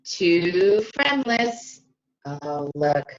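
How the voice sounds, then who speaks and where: Lively low-voiced woman reading to children. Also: very slow, muffled recording. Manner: expressive, stretches key words, addresses children directly. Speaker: speech-language pathologist reading to children. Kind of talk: animated children's read-aloud with questions to listeners.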